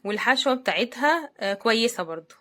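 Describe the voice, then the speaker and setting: Casting: Egyptian woman, fairly high voice, chatty unboxing host speaking straight to viewers. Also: expressive, fast talker, fairly bright tone. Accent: Egyptian Arabic. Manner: chatty, addresses viewers directly. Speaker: host of product unboxing videos. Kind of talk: casual unboxing commentary to viewers.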